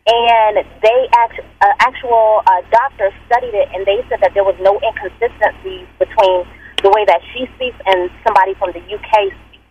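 A woman's voice: medium pitch, fairly even intonation, moderate pace, a little mellow, a little hiss or hum. A woman calling a radio show, earnestly explaining a story she saw. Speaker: caller. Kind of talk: phone-in chat. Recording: dull phone line.

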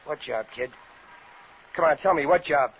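A man's voice: a little high, normal speed, slightly rough, narrow, tinny audio. An actor playing a tough, slangy ex-con safecracker and father in a 1950s radio crime drama. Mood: angry, firm. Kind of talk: 1950s radio crime drama acting, first-person narration. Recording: band-limited old radio broadcast, music behind.

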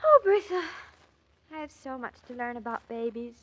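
A woman's voice: on the high side, animated, normal speed, fairly bright tone, husky, low-quality recording. An actress playing an anxious, fussy young newlywed mother with an earnest, hesitant manner, in 1940s radio drama style. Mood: rueful. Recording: old 1940s radio recording.

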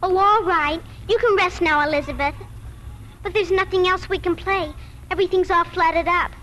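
A woman's voice: very high voice, fairly even intonation, normal speed, bright, forceful tone, rough recording. A female performer playing a reluctant, sensible little farm girl in 1940s radio style.

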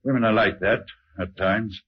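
A hesitant, husky male informant. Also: lowish voice, normal speed. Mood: hesitant, insinuating. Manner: hesitant, deferential, insinuating. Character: hesitant, insinuating brother of a murdered man. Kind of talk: old-time radio acting. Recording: muffled old radio recording.

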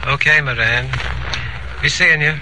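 A man's voice: fairly high pitch, a little slow. A man acting a cool, menacing mob kingpin on the telephone in a 1940s radio drama, clipped and casually threatening. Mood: cool menace. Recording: faintly noisy old radio recording.

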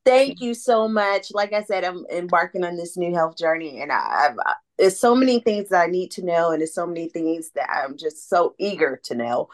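A woman hosting a podcast, bubbly and quick to laugh, talking in an exclamatory, chatty way. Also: on the low side, lively intonation. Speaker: podcast host. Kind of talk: casual interview chat.

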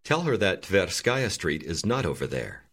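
A deep-voiced man narrates a language lesson, giving calm and clear prompts. His low voice still moves up and down expressively as he guides each exercise. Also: measured pace. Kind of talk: scripted instructional narration.

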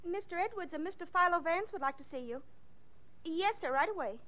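An actress playing a polite, efficient office receptionist in a 1940s radio drama. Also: female voice, extremely high pitch, expressive, brisk, fairly bright tone. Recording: dull, noisy 1940s radio recording.

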